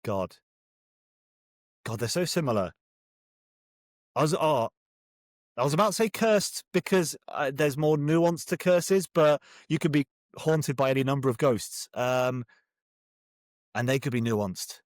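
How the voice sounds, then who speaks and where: A man's voice: mid-range voice, measured pace, pauses often, dry recording, clean studio sound. A man with a British accent, speaking as a guest author in a casual interview, jokey, self-deprecating and rambling a little.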